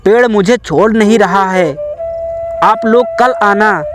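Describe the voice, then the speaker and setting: A man voicing a patient, slow-spoken sage monk. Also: high voice, over music. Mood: calm. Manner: patient, grave, instructive. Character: calm male sage monk teaching through riddles. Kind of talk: storybook narration.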